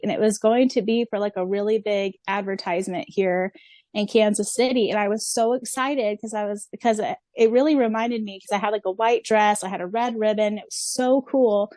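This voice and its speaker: A woman's voice: mid-range voice, fairly even intonation, moderate pace, a little mellow, no room sound. An enthusiastic, chatty woman co-hosting a fan podcast in a casual style. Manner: chatty, frequently laughing, enthusiastic. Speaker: podcast co-host.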